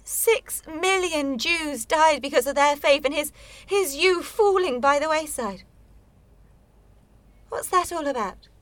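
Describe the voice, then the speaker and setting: A woman's voice: sits high, expressive, slow, dry recording. An actress in a radio play voicing a blunt, bitter woman burdened by family guilt, with a sardonic, probing manner. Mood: confrontational.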